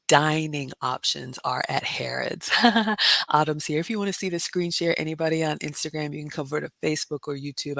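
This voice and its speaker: Woman w/ a low raspy smoky voice, chatty livestream host, dry close sound. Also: a bit bright, no echo. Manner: chatty, says "y'all" and "you all" often. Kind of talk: casual livestream chat reading comments.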